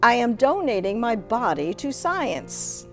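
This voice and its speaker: A woman's voice: on the high side, very animated, unhurried, faint music behind. A woman voicing a blunt, restless mother in her seventies in a dramatic story reading, with abrupt, emphatic declarations. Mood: brisk, decided. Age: 70s.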